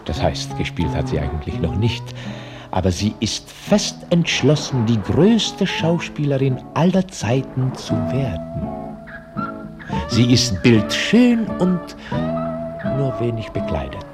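An actor as a wry, ironic storyteller narrating a German radio play with deliberate pauses. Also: male voice, mid-range voice, wildly swooping pitch, music in the background. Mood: ironic, leisurely. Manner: dry irony, deliberate pauses.

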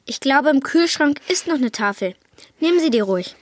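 A man's voice: very high voice, expressive, normal speed. A young male actor playing a cheeky, cool and loyal boy in a children's radio drama, speaking casually. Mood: sarcastic. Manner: casual, sarcastic.

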